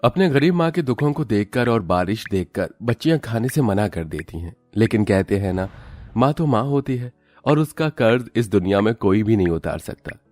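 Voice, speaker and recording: A man's voice: lowish voice, lively intonation, moderate pace, darkish tone, no echo, soft background music. A man reading scripted narration for a dramatic story in a storyteller's manner.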